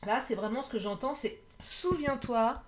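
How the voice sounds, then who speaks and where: Hoarse, chatty woman reading oracle cards. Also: a little high, moderate pace. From France. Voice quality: lively, chatty, laughs often, self-corrects. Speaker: tarot and oracle card reader. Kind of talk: casual card reading monologue to viewers. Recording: faint background noise.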